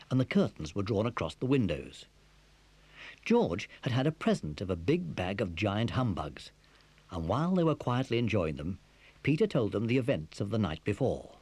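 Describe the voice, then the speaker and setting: A man's voice: fairly low pitch, darkish tone, husky, dry recording. A man narrating a scripted children's adventure story as its storyteller.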